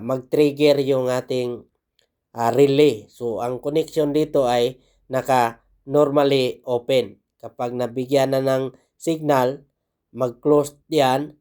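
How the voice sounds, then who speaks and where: Male Filipino technical instructor, mid-pitched, unhurried and halting, informal tutorial delivery. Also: a little mellow, clear, resonant tone. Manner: halting, pausing mid-sentence.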